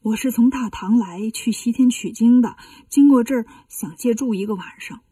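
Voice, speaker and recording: A woman puts on a sulky, aggrieved monk from a children's tale. He is meek and whiny, with a fairly high, soft-edged, husky voice. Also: moderate pace, dry recording, recorded from a distance. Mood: indignant, aggrieved. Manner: plaintive, sulky.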